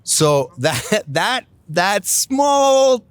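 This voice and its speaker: A man's voice: sits high, very animated, drawn-out words, smooth, dry recording. A middle-aged Canadian man, a city council candidate, answering interview questions in a rambling way with many fillers and restarts.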